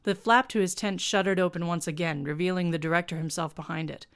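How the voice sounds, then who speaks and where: A woman with a clear, smooth voice pitched on the lower side reads her own comic fiction aloud. Her delivery is sardonic and snarky, like an author presenting to viewers. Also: steady tone, quick pace. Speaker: fan fiction writer and show presenter.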